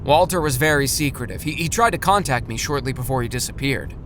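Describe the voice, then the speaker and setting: A man acts as a cautious, bemused dad in a kids' audio drama. His mid-pitched voice is very animated and wry. A faint vehicle rumble is heard behind him. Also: quick pace, close to an everyday voice. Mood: wry, guarded. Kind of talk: children's audio drama acting.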